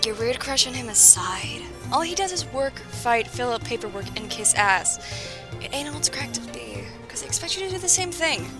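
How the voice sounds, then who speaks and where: An actress playing a loud, brash woman sergeant who teases her friend. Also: on the high side, sing-song, moderate pace, music in the background. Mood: teasing. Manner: brash, teasing.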